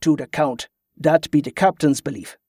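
A man's voice: on the high side, normal speed, warm tone, no room sound, clean studio sound, lightly acted. A man voicing a loyal, wary islander who talks in quaint dialect grammar in an audiobook story. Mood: earnest, wary.

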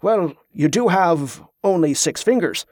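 A man's voice: sits high, expressive, measured pace, a little mellow, dry recording, clean studio sound, voice pushed up. Man voicing a formal sailing-ship captain. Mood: awkward. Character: male ship's captain, formal, awkward but commanding.

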